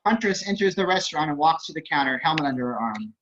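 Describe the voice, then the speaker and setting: A man's voice: fairly high pitch, expressive, quick pace, a bit bright. A man narrating at a table read, reading out screenplay stage directions over a video call. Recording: slightly dull video-call audio.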